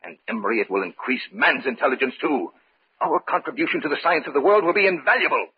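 A man's voice: medium pitch, expressive, fast, slightly rough. A man playing a confident, ambitious research doctor in an old-time radio horror drama, insistent and declamatory. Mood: insistent, dismissive. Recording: dull vintage radio recording.

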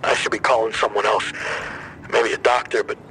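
An actor playing a despondent, broken man leaving a halting, unsure voicemail in an audio drama. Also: lowish voice, little pitch movement, pushed and bright, a little hiss or hum, rough recording. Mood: despondent, hesitant.

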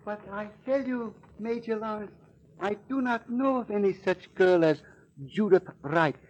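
An actor playing a wicked smuggler, evasive and protesting in formal phrases, in 1940s radio style. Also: male voice, high-pitched, unhurried, dull audio, a little hiss or hum. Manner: protesting, formal, uncontracted speech. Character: wicked male smuggler, evasive, then cornered and dismayed.

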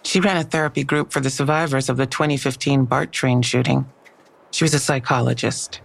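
An actress playing a skeptical police detective in an audio drama, dry and matter-of-fact, with a low, gravelly voice. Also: female voice, fairly even intonation, fairly quick, heavily acted. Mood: speculative.

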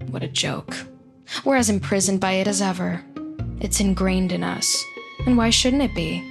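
Creaky-voiced cynical, awkward woman in a drama. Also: medium pitch, music in the background, natural voice. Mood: wry, brooding. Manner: wry when narrating, halting and flustered with others. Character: lonely overworked New York woman, awkward and apologetic, darkly cynical narrator. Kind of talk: scripted audio drama.

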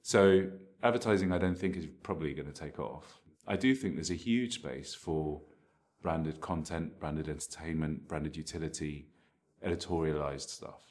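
Very low-pitched man explaining his views. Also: moderate pace, a little mellow. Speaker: interviewed marketing technology expert. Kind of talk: conversational interview answers.

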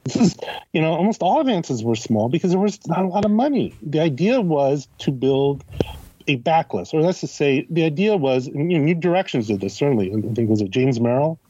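A man's voice: a little high, sing-song, fairly quick, dark tone, breathy edge, dull audio. A man speaking as a podcast guest and critic, explaining in conversation and often posing questions as he goes. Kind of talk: conversational interview commentary.

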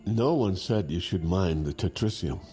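An actor playing a loyal, plain-spoken, steady man with a gruff manner in an audio drama. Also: low voice, moderate pace, soft background music. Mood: firm.

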